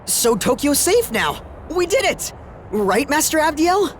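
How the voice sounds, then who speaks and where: A young woman voicing an eager, cheerful companion who talks excitedly and asks many questions. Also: high-pitched, a little slow, slight background noise.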